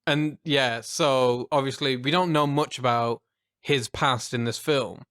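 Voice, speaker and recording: A man's voice: mid-range voice, steady tone, measured pace, a bit bright, smooth, dry recording, clean studio sound. A man from the UK, co-hosting a film podcast, chatty, enthusiastic and swearing freely. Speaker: podcast co-host. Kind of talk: casual film chat.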